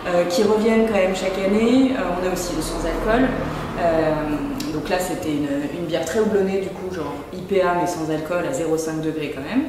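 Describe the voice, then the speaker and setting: A woman's voice: lowish voice, normal speed, heavy background noise. Woman from lyon talking about her brewery, lots of ums, echoey room, mic kinda far off. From Lyon, France. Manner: hesitant, many fillers. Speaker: brewery co-founder. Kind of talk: casual interview answers. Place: microbrewery shop.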